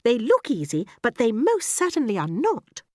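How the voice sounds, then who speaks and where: A woman voicing a gentle, encouraging female schoolteacher in a storybook, kindly, prim and attentive. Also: a little high, wildly swooping pitch, normal speed, no echo, clean studio sound, voice pushed up. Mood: gently instructive.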